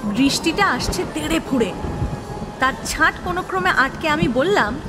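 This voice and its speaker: A woman's voice: high voice, animated, moderate pace. A woman playing a wistful, lovelorn ghost who narrates an audio drama. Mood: dramatic narration. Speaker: narrator and lead character. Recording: reverberant hall sound under music. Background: prominent background music.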